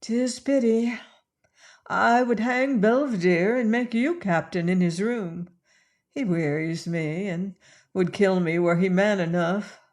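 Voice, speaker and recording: Imperious pirate woman, put on by a man, higher voice, slow delivery, audiobook. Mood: sighing. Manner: imperious, scornful, then weary and languid. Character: fierce commanding young pirate woman.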